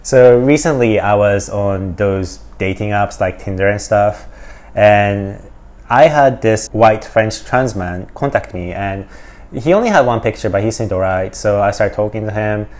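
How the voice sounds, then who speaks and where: A man's voice: on the low side, measured pace, a little mellow. A man from Japan doing casual, opinionated reaction commentary in a blunt, sarcastic manner. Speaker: reaction video host.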